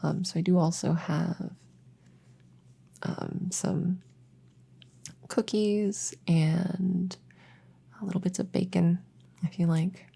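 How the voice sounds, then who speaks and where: A woman plays a reassuring witch veterinarian in a soft ASMR roleplay. Her voice is low and mellow with a husky edge. She talks in a soothing, slightly hesitant bedside manner, gently chatting to a nervous patient. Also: fairly even intonation, slow pace, long pauses, heavily acted. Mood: gentle, explaining.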